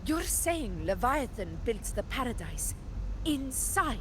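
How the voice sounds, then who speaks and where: High, animated proud battle leader. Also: female voice, takes it slowly, noisy background. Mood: alarmed. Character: proud, valiant young woman battle leader. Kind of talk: anime game voice acting.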